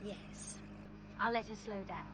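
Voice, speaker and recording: An actress playing a plucky, excitable young Englishwoman with an English accent, in the style of a vintage radio play. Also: medium pitch, unhurried, lightly acted. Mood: warm, teasing. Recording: noisy vintage radio drama recording.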